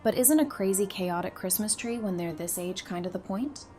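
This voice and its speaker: A woman's voice: mid-range voice, expressive, normal speed, warm tone, soft background music, natural voice. A woman playing a stubborn, affectionate young mother and wife in an audio drama, casual and wry. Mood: light, wondering. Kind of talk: fan audio drama acting.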